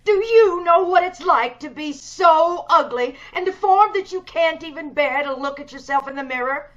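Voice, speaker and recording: A woman's voice: sits high, expressive, moderate pace, a bit bright. A woman playing a bitter, imperious, disfigured recluse in an old-time radio drama, theatrical and despairing. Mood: anguished, angry. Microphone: video call. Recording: dull video-call audio.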